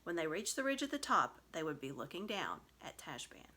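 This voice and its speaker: Female storybook narrator, lively intonation, bright clear-cut tone, expressive scripted reading. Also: sits low, fairly quick. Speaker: storybook reader.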